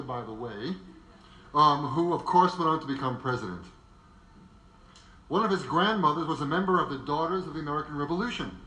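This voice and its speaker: A man's voice: a little high, pushed and bright. A man presenting a semi-scripted biographical narration in a conversational way, with wry asides. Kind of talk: semi-scripted narration before a live audience. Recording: echoey live room.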